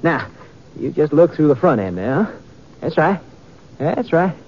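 A man playing an eager, chatty Old West hotel clerk, rustic and excitable, in a 1950s radio western. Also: on the high side, a little slow. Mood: proud, excited. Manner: rustic, excitable, chuckling and stammering. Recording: muffled, noisy 1950s radio recording.

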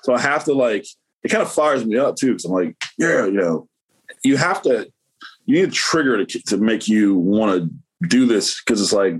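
A man's voice: fairly low pitch, expressive, moderate pace, husky. A man, a painter, talking casually as an interview guest, rambling with lots of fillers and restarts.

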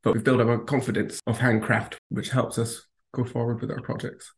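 A man architect calmly explaining his bench design with measured phrasing and small fillers. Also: medium pitch. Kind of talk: interview answers.

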